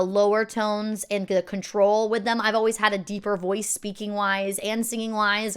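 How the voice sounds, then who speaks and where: Bubbly, rambling woman with a smooth voice. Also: mid-range voice, fairly bright tone. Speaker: reaction video host. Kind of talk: casual chat.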